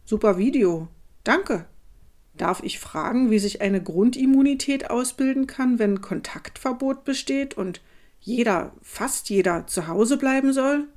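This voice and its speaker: A woman's voice: a little high, unhurried, darkish tone, lightly acted. An actress voicing an outspoken, questioning woman in a radio play collage of social media comments, with a pointed, wry and articulate delivery. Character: outspoken working mother and teacher, questioning, wry. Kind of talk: radio play collage reading of online posts.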